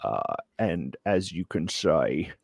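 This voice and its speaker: Low male voice, gravelly, put-on Australian accent, halting deadpan mock lecture, comic presenter. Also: no room sound, studio quality. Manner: putting on a joke Australian accent. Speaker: comic presenter giving a slideshow talk.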